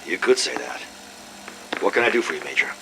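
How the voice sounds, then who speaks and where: An actor playing a weary, embittered and guarded military intelligence officer in an audio drama. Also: male voice, lowish voice, lively intonation, a little fast, vocal fry, noisy background.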